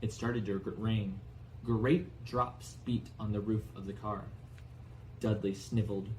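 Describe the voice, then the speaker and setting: A man reading a storybook aloud as narrator in an expressive style. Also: fairly low pitch, unhurried, slight background noise, low-quality recording. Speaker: audiobook narrator.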